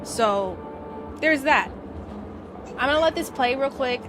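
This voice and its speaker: Woman streamer just chatting to viewers, kinda high voice, slangy, music going in the back. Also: animated, unhurried. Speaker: live streamer. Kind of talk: casual chat to stream viewers.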